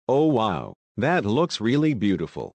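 Man voicing low-voiced worried colleague. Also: wildly swooping pitch, a little slow, creaky, muffled recording, very noisy, full character voice. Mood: mild interest. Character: worried male colleague hearing of cosmic doom. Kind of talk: scripted dialogue in an amateur disaster drama.

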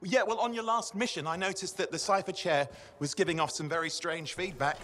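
An actor playing an excitable, insistent young technician in animated video game dialogue. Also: male voice, high-pitched, fairly quick, slight background noise. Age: young adult. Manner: insistent, repeats himself for emphasis.

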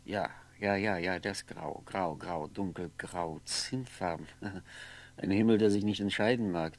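Lonely brooding drinker, gravelly creak, halting, repetitive, wistful and sardonic, vintage radio drama. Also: male voice, medium pitch, a little mellow. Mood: groping, brooding. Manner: halting, musing, repeats words, trails off. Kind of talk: 1960s German radio play monologue.

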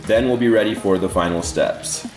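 A man's voice: fairly low pitch, moderate pace. A young man hosting a casual cooking vlog in his home kitchen, chatting upbeat and directly to viewers. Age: young adult. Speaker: fitness vlogger, host. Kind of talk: casual cooking demo to camera. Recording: roomy kitchen echo with background music.

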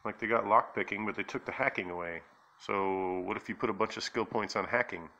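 A man's voice: lowish voice, fairly quick, sharp tone, lo-fi sound. A man giving casual gameplay commentary, thinking aloud in an offhand way. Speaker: gamer, channel host. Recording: clearly noisy game audio, clicks.

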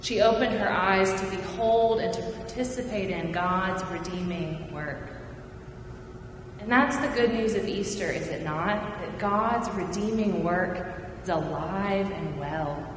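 Middle-aged lady preacher, calm and earnest, big echoey sanctuary, kinda far from the mic. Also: mid-range voice, background noise. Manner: measured, earnest preaching with falling phrase ends. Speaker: pastor. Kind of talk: sermon. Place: large church sanctuary. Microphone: pulpit mic, far camera.

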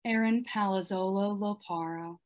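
A woman's voice: medium pitch, moderate pace. A middle-aged woman reciting a short poem at home over a video call. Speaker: poet reading own poem. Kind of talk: poetry recitation. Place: home room indoors.

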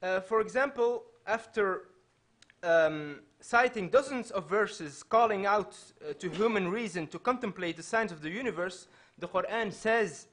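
A man in his thirties, raised in Belgium with Dutch as his first language, giving an earnest, measured lecture from a panel table in a hall, often restarting his phrases. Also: high voice, a bit bright. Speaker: panelist speaker. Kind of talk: public lecture. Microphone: table microphone.

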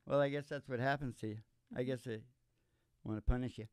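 A man's voice: mid-range voice, fairly even intonation, fairly quick, darkish tone, dry recording, studio quality. An older man hosting a music podcast, talking in a folksy, jokey, mock-boastful way. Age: older, 60s or above. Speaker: podcast host and singing DJ. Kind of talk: rambling comic banter between songs. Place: small curtained indoor studio stage. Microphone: stand microphone, close.

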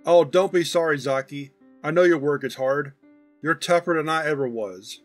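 A man's voice: a little high, moderate pace, lightly acted. Guy doing proud old grandpa, chatty n folksy, teases with love, storybook style reading, bit of music behind. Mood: fond, teasing. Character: affectionate, proud, teasing old grandfather, an uncanny entity.

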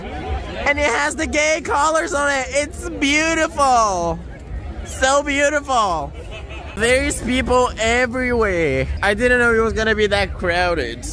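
A hoarse young man talks excitedly into a phone held at arm's length as he walks outdoors through the city at night. His voice sits high and bright, and faint crowd noise and music drift behind him. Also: slow. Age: young adult. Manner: gushing, excitable. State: hoarse after a concert. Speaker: vlogger. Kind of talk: casual vlog talk to camera.

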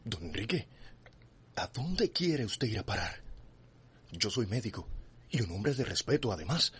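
An actor playing an anxious, principled doctor in a radio drama, formal and protesting, with a Cuban accent and husky voice. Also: male voice, lowish voice, very animated, measured pace, a bit bright, full character voice. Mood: indignant protest.

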